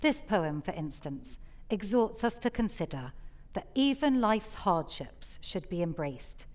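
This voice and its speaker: A woman's voice: medium pitch, sing-song, a little slow, a little mellow. A woman host giving articulate, explanatory scripted introductions to poems on a theatre stage.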